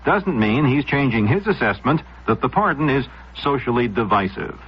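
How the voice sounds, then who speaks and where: Male American news anchor, formal and measured, rough-edged mid voice, dull vintage radio sound. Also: mid-range voice, expressive. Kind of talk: scripted news reading.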